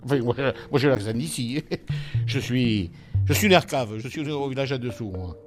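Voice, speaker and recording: A man's voice: medium pitch, normal speed, over music. A man stating plainly where he is from.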